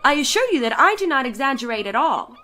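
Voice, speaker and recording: A woman playing a bored, haughty, chatty letter-writer on a balloon voyage, in a theatrical, drawn-out dramatic story reading. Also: sits high, big pitch swings, pushed and bright, slight background noise, exaggerated voice. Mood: exasperated, dramatic. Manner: theatrical, drawn-out, exasperated sighing delivery.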